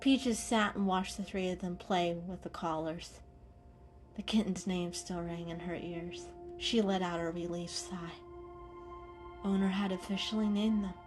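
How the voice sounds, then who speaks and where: A woman's voice: on the low side, steady tone, measured pace, a little mellow, soft background music. A woman reading a story aloud as a storyteller, in dramatic storybook narration.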